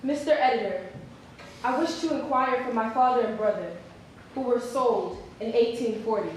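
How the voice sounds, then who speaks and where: A woman's voice: a little high, moderate pace. A woman performing a staged dramatic reading of a written letter. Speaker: performer reading historical newspaper ads. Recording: very echoey hall, faint noise.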